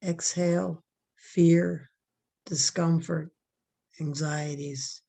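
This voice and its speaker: A woman leading a guided relaxation meditation, giving soft, calm, drawn-out breathing instructions. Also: deep voice, creaky.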